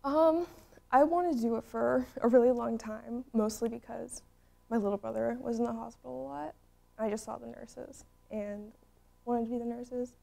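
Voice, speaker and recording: A woman's voice: fairly high pitch, steady tone, normal speed, gravelly, mellow. A young woman nursing student giving straightforward answers in a documentary interview. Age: young adult. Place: studio with plain backdrop.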